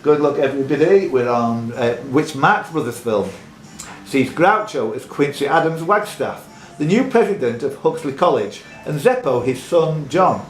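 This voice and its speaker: A man's voice: on the high side, animated, moderate pace. A man hosting a live pub quiz, reading questions and chatting teasingly with contestants. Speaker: quiz host. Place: echoey room in a pub. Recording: roomy echo, music in background.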